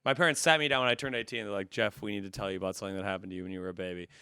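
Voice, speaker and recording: A man's voice: sits low, steady tone, very fast, sharp tone, smooth, dry recording. A man speaking as a guest comedian on a podcast, telling stories casually with dry, irreverent humor.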